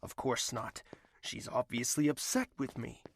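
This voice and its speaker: A man's voice: medium pitch, expressive, unhurried, vocal fry, slight background noise. A man playing a lovesick, stubborn young prince in an audio drama, earnest, formal and melodramatic. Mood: determined.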